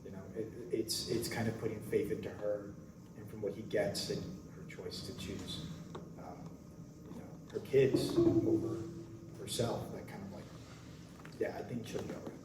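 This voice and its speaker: A man's voice: on the low side, normal speed. A man actor chatting reflectively and hesitantly at a post-show question session. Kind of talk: casual reflective chat. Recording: echoey room, distant, noisy.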